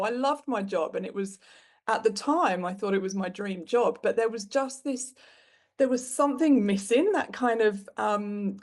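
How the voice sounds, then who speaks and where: A woman in her thirties from the UK with a British accent, a podcast guest telling her career story frankly, with plenty of fillers. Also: medium pitch. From United Kingdom. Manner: frank and animated, with frequent fillers. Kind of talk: candid conversational storytelling.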